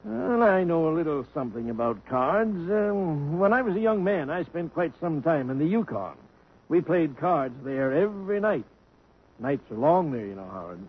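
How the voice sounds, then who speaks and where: Cheeky old gramps, folksy wisecracks, muffled old radio with faint hiss. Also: male voice, on the high side. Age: older. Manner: wry, folksy, quick comebacks. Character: cheeky old grandpa soda jerk. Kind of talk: 1950s radio drama acting.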